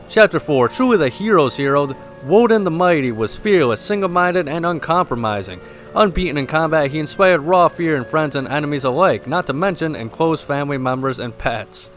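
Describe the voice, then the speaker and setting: A man hosting a gameplay video, mixing casual commentary with lore read aloud, in a somewhat halting delivery. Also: on the high side, a bit bright. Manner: halting, stumbles while reading aloud. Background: game music.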